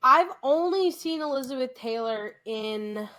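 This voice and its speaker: Bright, high-voiced American woman chatting. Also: unhurried. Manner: chatty, animated, trails off and restarts. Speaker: podcast co-host. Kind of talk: casual chat.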